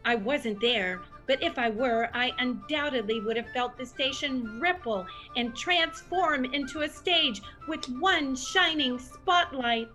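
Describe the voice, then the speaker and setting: A woman playing an excitable, self-important superfan who narrates a comedy audio drama in a grandiose, theatrical way. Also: sits high, a little slow, few pauses, over music, poor audio. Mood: dramatic, knowing.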